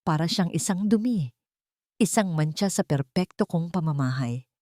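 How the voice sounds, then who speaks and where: A woman's voice: fairly low pitch, expressive, moderate pace, warm tone, no room sound, clean studio sound, close to an everyday voice. A woman storyteller voicing a cold, disdainful, wealthy daughter-in-law with a clipped, snobbish manner. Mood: annoyed, scornful.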